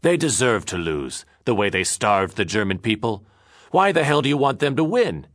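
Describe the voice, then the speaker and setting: A man voicing a blustering, bigoted meat man who talks loudly and mockingly. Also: low voice, big pitch swings, moderate pace, no room sound, studio quality. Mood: angry. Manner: loud, mocking, boorish.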